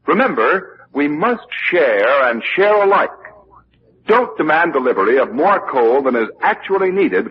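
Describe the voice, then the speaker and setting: An American man announcing in a formal, emphatic 1940s radio style from a script. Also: fairly high pitch. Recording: dull, hissy 1940s radio recording.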